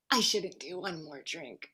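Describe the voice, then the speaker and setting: A young bride played by an actress in a casual screenplay read. She is upbeat and teasing, with animated, mid-range pitch. She sounds breezy and ready to party. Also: slightly rough, heavily acted. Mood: excited, tipsy.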